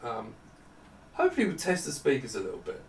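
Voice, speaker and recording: Gravelly man thinking aloud, distant roomy mic. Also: mid-range voice, wildly swooping pitch, fast talker, slight background noise. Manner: hesitant, thinking aloud while working. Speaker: presenter demonstrating a monitor product. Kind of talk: unscripted casual product demo. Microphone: camera-side microphone set away from him. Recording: roomy, distant microphone with music. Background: music from demonstrated speakers.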